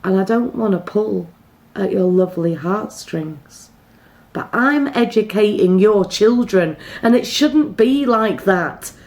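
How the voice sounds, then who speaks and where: A middle-aged British woman performs a rhyming spoken-word poem as a slam poet, in a measured, rhythmic delivery with deliberate pauses. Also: medium pitch, lively intonation, a little mellow.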